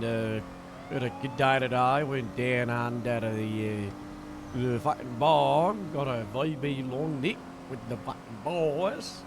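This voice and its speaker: Man doing a brash boozy Australian lad, heavy swearing, stretched vowels, game noise behind. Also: medium pitch. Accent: put-on Australian. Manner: swears constantly, rambling, drawn-out words. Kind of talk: in-character voice in a roleplay game stream.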